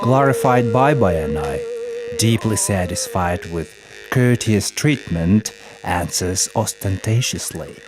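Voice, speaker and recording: A man reading scripted narration of a folk epic in a formal, measured storytelling manner. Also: on the low side, lively intonation, background noise. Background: music.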